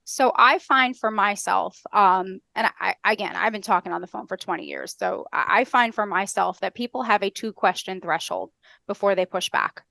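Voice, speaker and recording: A middle-aged American woman on a headset, explaining step by step as a podcast guest in a brisk, structured, instructional way. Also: medium pitch, creaky, sharp tone, no echo. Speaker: podcast guest, customer service trainer. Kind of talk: explanatory interview talk with acted-out call examples. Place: indoor room on a video call.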